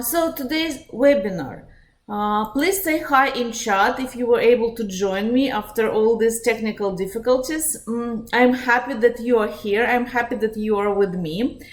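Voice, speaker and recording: A woman in her thirties from Russia with a Russian accent, hosting a live online psychology webinar in an earnest, direct way, with frequent "uh" fillers. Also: fairly high pitch.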